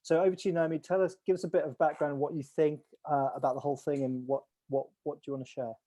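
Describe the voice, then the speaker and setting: A man's voice: on the high side, little pitch movement, fast, dark tone. A man hosting an online call, briefing on a competition in a rambling way, with restarts and fillers. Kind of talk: casual briefing on a video call.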